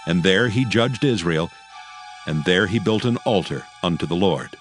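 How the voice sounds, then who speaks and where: A man narrating scripture from a script in a solemn, measured, formal manner. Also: deep voice, lively intonation. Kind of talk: scripted dramatized Bible narration. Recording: faint music behind the voice. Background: faint background music.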